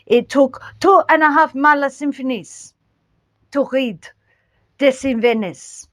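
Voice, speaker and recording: A woman with a French accent hosting a casual book review. She talks in halting phrases, restarts often, and sprinkles in French words. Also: high-pitched, a bit bright. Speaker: book channel host.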